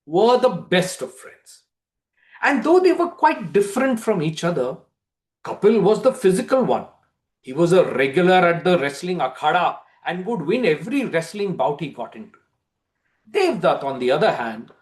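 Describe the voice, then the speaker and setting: A man with an Indian accent narrating a play as a theatrical storyteller, with emphatic pauses. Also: sits high. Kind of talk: dramatic storytelling of a play synopsis.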